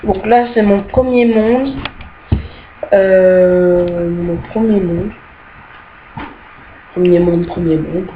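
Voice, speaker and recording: Young woman, casual game tour, halting and fond of fillers, slow delivery, dull home mic with faint hiss. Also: lowish voice, steady tone. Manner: hesitant, many "euh" fillers, easily annoyed by bugs. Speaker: gamer showing her rooms.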